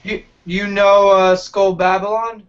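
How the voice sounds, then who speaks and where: Guest: higher-pitched man from New Jersey, stop-start casual talk, muffled lo-fi audio. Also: little pitch movement, clean tone. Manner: halting, repeats words. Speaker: guest street performer. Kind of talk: casual chat. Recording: dull lo-fi call audio.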